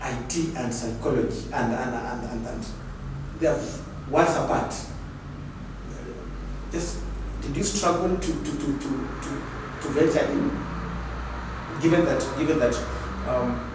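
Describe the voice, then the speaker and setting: A man's voice: mid-range voice, unhurried. A man hosting a podcast interview, often hesitant and repeating his words. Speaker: podcast host. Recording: echoey room, far from mic, noise.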